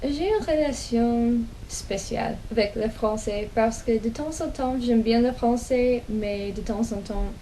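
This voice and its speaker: A young American woman, a native English speaker, telling her own story in a casual vlog, with slow hesitant speech full of pauses and restarts. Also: on the high side, dark tone, a little hiss or hum, rough recording. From United States. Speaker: language vlogger. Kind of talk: casual personal storytelling in learned French.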